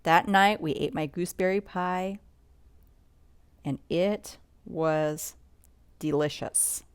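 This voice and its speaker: A woman reading a children's bedtime story aloud in an expressive read-along style, sometimes commenting as she goes. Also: lowish voice, slow pace, clear, resonant tone, no echo. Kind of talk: bedtime story read-along narration with asides about pictures.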